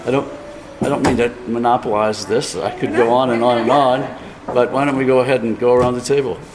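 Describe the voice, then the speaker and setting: A man's voice: mid-range voice, steady tone, a little fast, crowd noise, poor audio. A man who owns the winery, chatting at a dinner table about its history, easygoing and self-deprecating. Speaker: winery owner. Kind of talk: casual dinner talk, telling the winery's story. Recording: music playing behind the voice.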